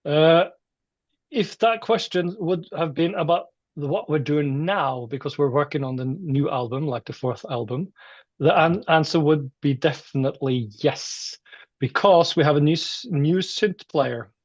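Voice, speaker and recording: A man with a Norwegian accent, a musician chatting casually and haltingly in an interview. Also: a little high, no room sound, highs cut off. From Norway. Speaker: metal musician being interviewed.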